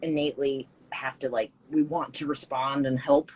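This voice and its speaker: A woman's voice: very deep voice, steady tone, moderate pace, darkish tone, husky, low-quality recording. A woman hosting a livestream, chatting casually while painting; blunt and chatty, with the odd swear. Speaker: livestream host.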